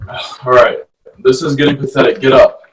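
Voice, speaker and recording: A man's voice: mid-range voice, level tone, moderate pace, close to an everyday voice. A sarcastic, laid-back teenage merman played by a man teases in a casual table-read delivery. The recording is echoey, a little far from the mic, with faint noise. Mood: impatient. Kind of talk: screenplay table read acting.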